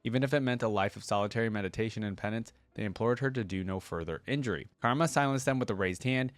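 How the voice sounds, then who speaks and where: A man's voice: lowish voice, quick pace, no room sound. Man doing casual reaction commentary, dry close mic. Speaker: YouTube host reacting to game lore. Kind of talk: casual reaction commentary mixed with reading lore aloud.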